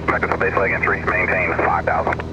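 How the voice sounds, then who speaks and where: A man with a fairly low voice rattles off air traffic control instructions. His delivery is clipped and procedural. It comes through a muffled aircraft radio with clear noise behind it. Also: level tone, quick pace. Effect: aircraft radio transmission. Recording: muffled, noisy aircraft radio with engine drone. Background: aircraft cabin noise.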